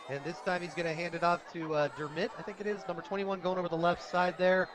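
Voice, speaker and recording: An American man doing live radio play-by-play of a football game in an energetic, conversational way. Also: high voice, quick pace. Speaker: radio play-by-play sports announcer. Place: outdoors at a high school football game. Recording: outdoor stadium broadcast with crowd noise. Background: crowd at a stadium.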